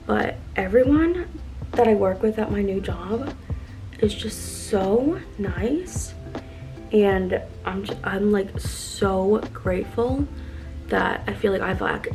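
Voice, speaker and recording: Chatty young woman with vocal fry. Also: mid-range voice. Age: 20s. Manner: chatty, filler-heavy with constant "like"; upbeat and earnest. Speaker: lifestyle vlogger. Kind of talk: casual get-ready-with-me chat. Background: background music.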